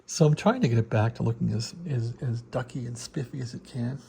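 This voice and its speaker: Gravelly American man, casual hobbyist show-and-tell. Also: mid-range voice, brisk, warm tone, slight background noise. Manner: rambling, folksy, colloquial. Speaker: radio hobbyist showing a restoration project. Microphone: handheld phone.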